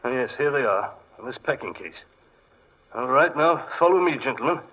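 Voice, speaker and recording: An actor playing an excitable, fussy English gentleman archaeologist in 1940s radio style, often hesitant, with an English accent. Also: mid-range voice, normal speed, lightly acted. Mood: apologetic. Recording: muffled 1940s radio broadcast.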